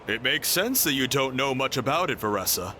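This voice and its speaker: A man voicing a friendly, knowledgeable man in a video game who explains things calmly. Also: mid-range voice, brisk, noisy background, lightly acted. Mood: explaining. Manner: calm and explanatory. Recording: game audio with faint music behind.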